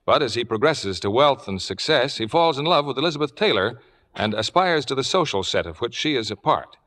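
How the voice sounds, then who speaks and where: Old time radio announcer guy, formal and polished sales delivery, really lively intonation. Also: mid-range voice, fairly quick. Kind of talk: scripted 1950s radio announcing and sponsor pitch.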